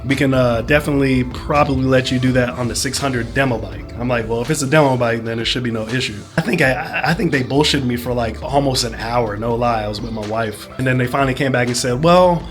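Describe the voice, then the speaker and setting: A man, sick and a bit hoarse, telling a long rambling story in a casual vlog, full of "you know" and "whatnot". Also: mid-range voice, fast talker, few pauses, faint music behind. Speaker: motorcycle vlogger.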